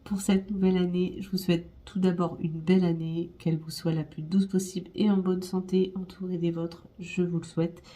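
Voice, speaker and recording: A woman with a stuffy nose from a cold, chatting gently to viewers as she tidies her home, with soft music behind. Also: lowish voice, level tone, a little fast, hardly any pauses. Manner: gentle, chatty, apologetic asides with light laughs. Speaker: home vlogger. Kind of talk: casual vlog narration.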